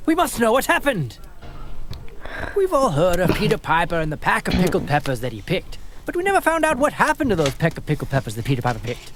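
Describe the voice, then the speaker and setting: A woman's voice: on the low side, wildly swooping pitch, quick pace, background noise. A woman playing a mock-solemn storyteller, giving theatrical comic narration with dramatic patter over music. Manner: dramatic, crisp alliterative patter.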